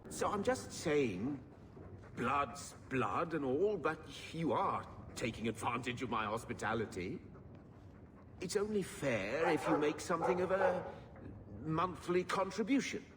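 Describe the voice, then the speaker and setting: A man's voice: fairly high pitch, expressive, a little slow, in a large hall, background noise. An actor playing a shifty, bitter middle-aged uncle down on his luck, grumbling and self-pitying, in a video game drama.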